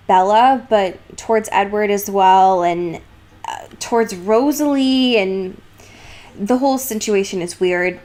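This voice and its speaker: A young woman chats casually as a podcast co-host. Her voice sits at a middle pitch, and she recaps a story with slangy, sarcastic irreverence. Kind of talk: casual book recap chat.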